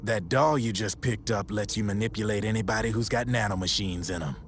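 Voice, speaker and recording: A man playing a grim, folksy soldier in a video game, telling a dark history in a measured, ominous way. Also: lowish voice, a little hiss or hum, close to an everyday voice. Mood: wry. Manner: measured, ominous, colloquial storytelling. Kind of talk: dramatic game cutscene storytelling.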